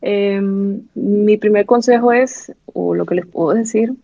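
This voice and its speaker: A woman's voice: mid-range voice, a little slow, gravelly, warm tone, no echo. A Venezuelan woman in her thirties, a resident doctor, speaking candidly in an interview with many fillers and restarts. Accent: Venezuelan Spanish. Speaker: pathology resident doctor, guest. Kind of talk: casual interview chat. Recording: dull lo-fi sound.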